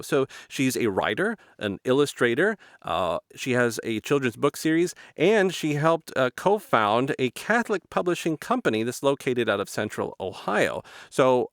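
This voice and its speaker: A man's voice: medium pitch, dry recording. Podcast interviewer, man, mid-range voice, recorded very dry, conversational presenting style. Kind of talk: hosting an interview, introducing the guest.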